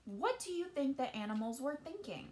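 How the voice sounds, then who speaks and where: A woman in her thirties reads a picture book aloud to children like a teacher, with deliberate, expressive emphasis on key words. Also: sits high.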